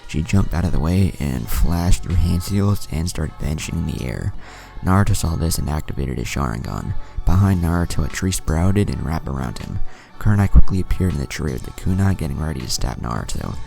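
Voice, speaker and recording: A man with a deep, gravelly voice reading a scripted story as a narrator, audiobook style. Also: expressive, brisk, warm tone, faint music behind. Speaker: narrator reading fan fiction.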